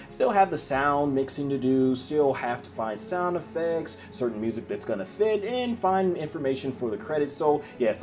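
A man in his twenties hosting a project update vlog as an independent filmmaker, speaking to camera with an emphatic, showy presenter delivery. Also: medium pitch. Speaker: independent filmmaker and video channel host. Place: small indoor room at home. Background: music.

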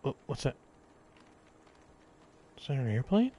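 A man's voice: medium pitch, sing-song, a little slow, warm tone, slight background noise. A man doing casual live gameplay commentary in a playful, exclaiming way, often talking to himself. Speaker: game streamer.

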